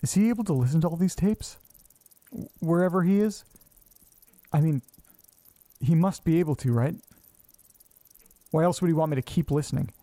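Guy acting as a nervy lonely man talking to himself, horror audio drama, soft mellow voice, kinda high, super dry sound. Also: no echo, lightly acted. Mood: puzzled, uneasy. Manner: halting, muttering, talking to himself. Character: anxious, lonely, self-doubting man talking to himself and his pets.

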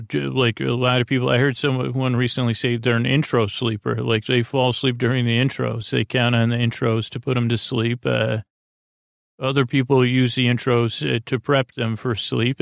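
A man with a creaky voice hosting a bedtime podcast, rambling in a soothing, sleepy, hesitant way with stop-and-start phrasing. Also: medium pitch, little pitch movement, normal speed, no echo, studio quality. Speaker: podcast host.

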